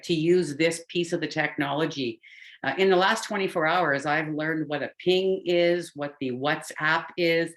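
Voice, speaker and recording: A woman's voice: low-pitched, a bit bright. A woman from Canada speaking on a video call, chatty and self-deprecating as she answers a question in a club meeting. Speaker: club member.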